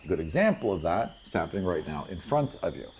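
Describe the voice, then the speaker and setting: A man's voice: low voice, very animated, fast talker, a little mellow, slight background noise. A man acting as game master, narrating a tabletop fantasy game in an explanatory, conversational way.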